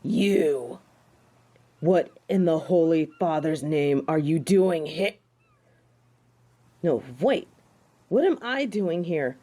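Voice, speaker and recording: A woman's voice: low voice, animated, takes it slowly, pauses often, dark tone, heavily acted. A woman playing a snobbish, indignant fallen angel in a theatrical audio drama, speaking with haughty disdain. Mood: flustered, snobbish. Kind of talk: dramatic audio roleplay acting.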